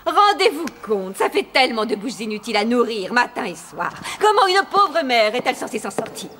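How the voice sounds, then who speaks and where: A woman's voice: on the high side, big pitch swings, a little fast, pushed and bright, slight background noise. A woman voicing a wicked, haughty stepmother queen in a fairy-tale cartoon, mock-sweet and sneering in a theatrical way. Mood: gloating, cruel. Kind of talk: animated fairy-tale dubbing.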